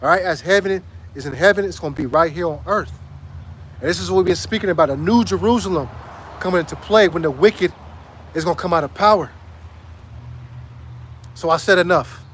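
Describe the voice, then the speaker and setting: Street preacher, man, high voice, hoarse, emphatic and repetitive, faint traffic behind. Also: brisk, long pauses, fairly bright tone. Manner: emphatic, repeats phrases and asks "right?". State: hoarse, losing his voice. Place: outdoors on a city street.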